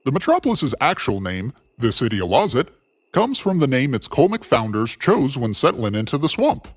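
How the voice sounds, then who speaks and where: A man's voice: mid-range voice, big pitch swings, moderate pace. A man playing a wistful, philosophical radio host, musing theatrically through a scripted monologue. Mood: earnest, reflective.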